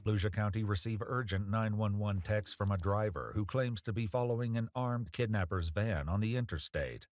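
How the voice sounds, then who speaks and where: A man's voice: fairly low pitch, moderate pace, few pauses, no room sound, studio quality. A man reading a scripted true-crime narration about police footage as the narrator.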